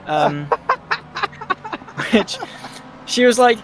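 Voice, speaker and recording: A man's voice: very high-pitched, huge pitch swings, drawn-out words, over music. A British man co-commentating on motor racing, rambling and self-deprecating, often laughing at himself. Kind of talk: live sim racing commentary and banter.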